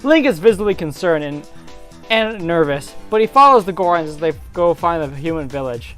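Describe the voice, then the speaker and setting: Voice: medium pitch, animated, normal speed, fairly bright tone, faint music behind. A theatrical narrator giving a comedic dramatic reading of a fantasy story.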